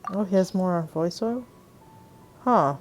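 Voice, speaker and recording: Low-voiced woman chatting over game music. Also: animated, takes it slowly. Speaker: gameplay streamer. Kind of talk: casual gameplay commentary.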